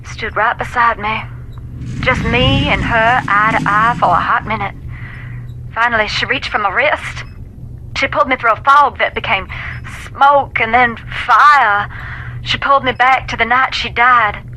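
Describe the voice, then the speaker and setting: A woman's voice: a little high, measured pace, sharp tone, slight background noise, low-quality recording. An actress playing a folksy, intense Southern American woman who talks to spirits, telling dramatic stories in a video game. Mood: eerie storytelling. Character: folksy, intense woman ghost-seer, knowing and haunted.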